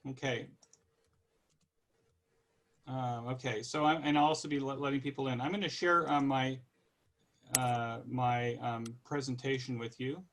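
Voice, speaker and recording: American guy in his 60s, pretty flat pitch, rambling casual club talk over a video call. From California, United States. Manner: rambling, halting, conversational. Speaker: guest presenter. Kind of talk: informal online club presentation.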